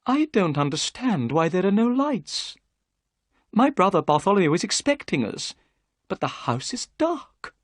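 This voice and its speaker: A nervous, talkative gentleman chatters eagerly, his voice lifted high and fussy, as a narrator puts him on. The tone is breathy and lively, recorded close and dry. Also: a little slow, dry recording.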